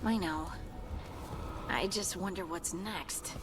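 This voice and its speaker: A woman's voice: on the low side, takes it slowly, background noise. An actress playing a self-doubting, wry young female adventurer in a fantasy game. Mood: uncertain.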